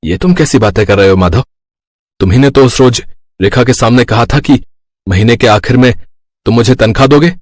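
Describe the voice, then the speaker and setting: A man's voice: mid-range voice, fairly quick, close to an everyday voice. A man voicing a worried, humble village eatery owner in a cartoon, speaking plaintively. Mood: earnest.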